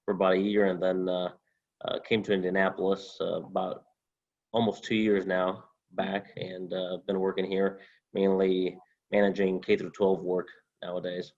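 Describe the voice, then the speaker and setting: Low, flat-voiced young man from Honduras. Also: gravelly, lo-fi sound. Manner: halting, many uh fillers, steady. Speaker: alumnus guest. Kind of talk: interview answers.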